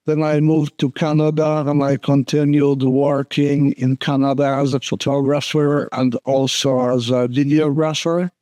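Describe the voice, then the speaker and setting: Slow-speaking older Polish man. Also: a little high, warm tone, dry recording. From Poland. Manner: earnest, unhurried, speaks in short restarting phrases. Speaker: guest photographer. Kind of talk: casual interview.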